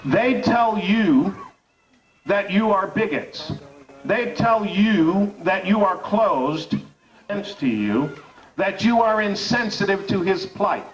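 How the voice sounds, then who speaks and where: A man who hosts a radio talk show, delivering a rousing speech to an audience with emphatic, repeated rhetorical build-ups. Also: sits high. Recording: dull, distant recording.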